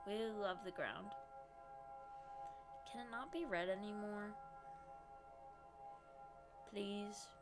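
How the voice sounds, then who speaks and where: A woman's voice: mid-range voice, slow pace, long pauses, over music. A young woman with a stuffy nose, playfully teasing a game as she streams. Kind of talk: casual gameplay commentary.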